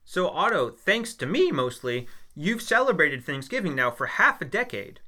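A man's voice: a little high, big pitch swings, normal speed, pushed and bright. A man from the United States, co-hosting a casual podcast and chatting in a rambling way with fillers and restarts. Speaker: podcast co-host, history teacher.

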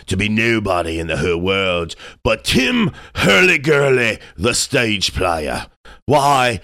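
A man's voice: lowish voice, a bit bright, no echo, clean studio sound, pitched above the performer's own voice. A man voicing a chuckling drunken sailor in a storybook, cheekily defiant as he rambles along in salty seafaring talk.

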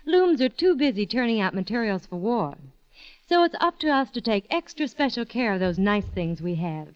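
A woman's voice: on the high side, big pitch swings, normal speed, clear, resonant tone. A woman delivering scripted advertising patter as a spokeswoman on a dull 1940s radio broadcast.

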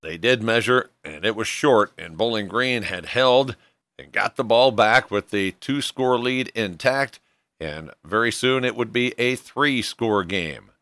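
A man's voice: fairly low pitch, unhurried, fairly bright tone, no room sound. A man hosting a sports show, narrating a scripted recap of a football game.

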